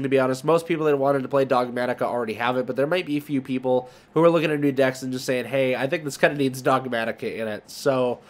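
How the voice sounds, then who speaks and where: A man hosting a trading card market video, chatting informally to viewers with emphasis on key words. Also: medium pitch. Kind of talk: casual commentary to viewers.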